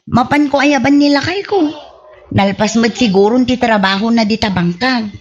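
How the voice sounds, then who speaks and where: A woman with an Ilocano Filipino accent playing a chatty, helpful village wife who grumbles good-naturedly, in a husky-voiced radio comedy drama. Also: a little high, normal speed, dull audio. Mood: helpful, obliging.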